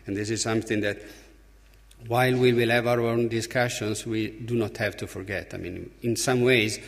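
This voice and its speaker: A man from Italy with an Italian accent gives a conference speech as an opening speaker, emphatically, with halting, deliberate pauses. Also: lowish voice. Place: large conference hall. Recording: reverberant hall, long echo.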